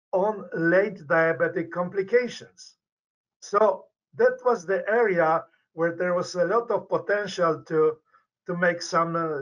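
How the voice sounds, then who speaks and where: An older man from Poland with a Polish accent, a medical researcher answering interview questions over a video call, speaking deliberately with many restarts. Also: sits high, a bit bright. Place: indoor office with banner behind. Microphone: webcam on video call. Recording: dull lo-fi video call audio.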